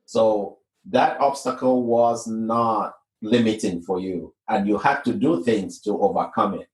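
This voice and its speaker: A man's voice: on the low side, unhurried. A man hosting a podcast, interviewing his guest in an encouraging way with plenty of fillers. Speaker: podcast host. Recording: echoey room, distant mic.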